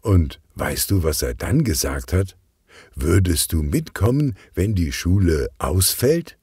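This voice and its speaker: Kids' audiobook: male narrator playing excitable teenage best friend, a girl, confiding, unhurried delivery. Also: deep voice, slightly rough, dry recording, studio quality, full character voice. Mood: excited, confiding.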